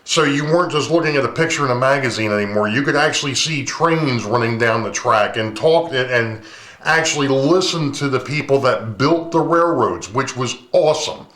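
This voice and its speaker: Casting: man with a booming, mid-pitched voice, rambling vlog host, camcorder mic, echoey room. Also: expressive, normal speed, a bit bright. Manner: rambling, chatty, many ums. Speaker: model railroad channel host. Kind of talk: casual talk to camera. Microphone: camcorder's built-in mic, no lapel mic.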